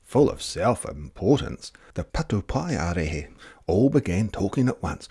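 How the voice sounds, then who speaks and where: A man reading a children's picture book expressively, dramatic, with hushed and drawn-out words. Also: low-pitched, big pitch swings, breathy edge. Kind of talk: expressive children's story narration.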